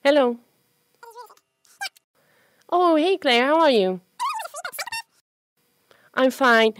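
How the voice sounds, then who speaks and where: A woman's voice: high voice, lively intonation, drawn-out words, noisy background, exaggerated voice. A woman playing a friendly, chatty, self-doubting young woman in an amateur radio play, with a hesitant, natural delivery. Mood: chatty, embarrassed.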